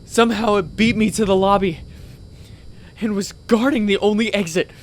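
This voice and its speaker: An actor playing a frightened podcaster hiding from a creature, stammering as he hushedly recounts his escape. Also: high voice, fairly even intonation, normal speed, close to an everyday voice. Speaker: podcaster character. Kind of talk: radio drama acting.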